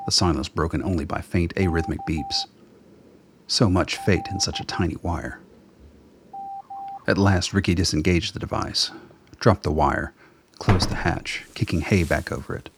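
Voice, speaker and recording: A man narrating a scripted radio drama as its storyteller, with a husky voice. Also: deep voice, noisy background.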